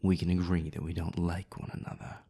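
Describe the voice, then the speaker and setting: A man voicing a haughty, sardonic male vampire in an audio roleplay, speaking with dry, mocking politeness in a gravelly, breathy voice. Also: deep voice, flat delivery, a little fast, mellow, exaggerated voice. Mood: cool, cutting.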